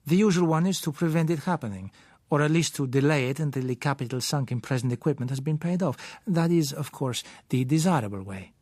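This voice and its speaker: A man voicing a suave, sly businessman in an audiobook, polite and measured, with soft hesitant pauses. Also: on the high side, expressive, dry recording. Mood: gently persuasive. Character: suave, sly South American businessman, polite and gently insistent. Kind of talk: audiobook character voice.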